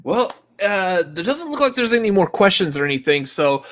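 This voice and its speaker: A man's voice: high-pitched, big pitch swings. Laid-back male co-host. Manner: laid-back, quick to laugh. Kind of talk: casual live-stream chat.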